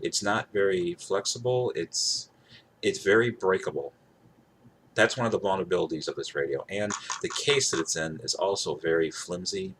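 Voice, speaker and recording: A man with a low voice talks casually to camera as he demonstrates a device. He rambles, circling back to the same points with emphasis. Some noise sits behind his voice. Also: normal speed, fairly bright tone. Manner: repeats himself, rambling, emphatic. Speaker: gadget reviewer. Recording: clear background noise.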